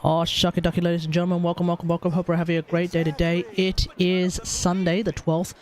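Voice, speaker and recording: A man's voice: high voice, monotone, fast, a little mellow, a little hiss or hum. A man with an Australian accent hosting a game livestream in casual, rambling, hesitant chat. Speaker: livestream host, gamer.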